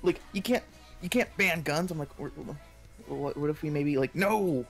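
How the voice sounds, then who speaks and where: A man's voice: on the high side, normal speed, fairly bright tone. Casual, hedging American man with faint music. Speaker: stream co-host. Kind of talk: casual chat.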